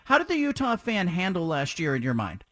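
A man hosting a sports talk radio show, chatty and energetic, asking long rambling questions. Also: sits high, brisk, no room sound, studio quality.

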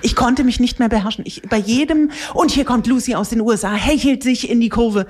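A woman radio host chatting casually on live radio, telling things in an animated way. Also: a little high, brisk.

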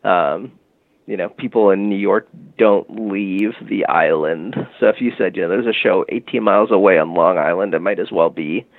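A man being interviewed, explaining a product in a relaxed conversational way with lots of fillers. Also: low voice, moderate pace, creaky, no echo. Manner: relaxed, frequent fillers like "you know" and "um". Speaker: guest, music marketing tech company founder. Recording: dry phone-like line.

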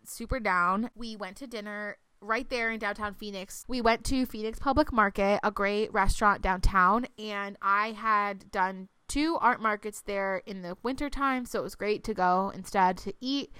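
Woman in her twenties, bright and crisp voice, chatty with lots of fillers, slightly congested, casual podcast chat. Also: medium pitch, normal speed, hardly any pauses, no echo. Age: 20s. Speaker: podcast co-host.

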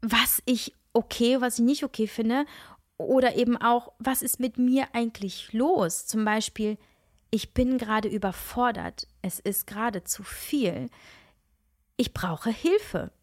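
A woman's voice: fairly high pitch, a little slow, dry recording, clean studio sound. A middle-aged woman who is nasal from a cold, hosting a reflective solo podcast in an earnest, searching way with frequent restarts. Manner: earnest, searching, many restarts and soft asides. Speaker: podcast host and coach.

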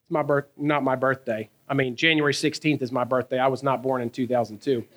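A man, preaching a sermon in a conversational, animated way, asking his listeners questions and telling stories from his own life. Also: mid-range voice, brisk. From American South. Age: 30s. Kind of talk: sermon with personal storytelling.

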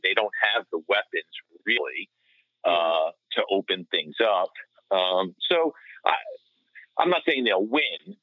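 A man's voice: lowish voice, no room sound. A man giving casual, conversational sports analysis as a podcast co-host, on lo-fi audio. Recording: dull, lo-fi remote audio.

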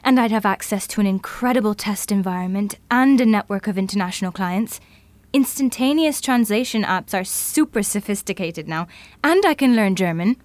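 A woman's voice: mid-range voice, lively intonation, normal speed, darkish tone, no room sound, close to an everyday voice. An actress playing an ambitious, conflicted, earnest career woman in a naturalistic audio drama. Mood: eager.

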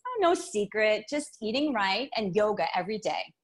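A woman's voice: medium pitch, very animated, moderate pace. A woman acting a friendly, upbeat family friend and neighbour in a radio drama. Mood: friendly, polite.

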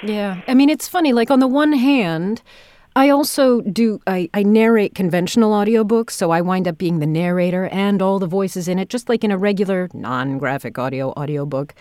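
A woman hosting a conversational interview, speaking in a thoughtful, halting way as she works out her phrasing. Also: medium pitch, a little mellow, dry recording, clean studio sound. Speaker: podcast host, audio drama director and audiobook narrator.

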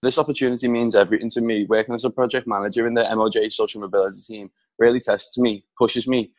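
A young man talks quickly and upbeat. His voice is fairly low. The sound is dry and close. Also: fairly even intonation, no echo. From Liverpool. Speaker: project manager. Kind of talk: recorded testimonial.

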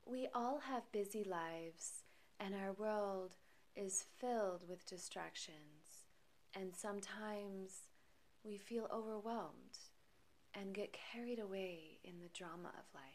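Woman, meditation guide, soft breathy voice, mid pitch, very slow delivery, calm, soothing, long pauses. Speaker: meditation guide and podcast host.

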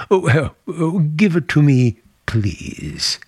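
An actor playing a charming but guarded, secretive uncle with a husky, creaky voice in a radio drama. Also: male voice, on the high side, sing-song, extremely slow, a little mellow, dry recording, studio quality, exaggerated voice. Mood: tense, forced politeness. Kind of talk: old-time radio drama acting.

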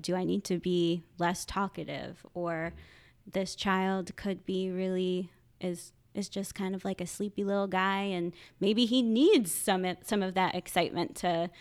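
A woman who is a social worker, talking casually in a podcast interview, halting often and laughing. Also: fairly even intonation. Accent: Philadelphia. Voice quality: high-pitched by her own account. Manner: halting, frequent restarts and laughs.

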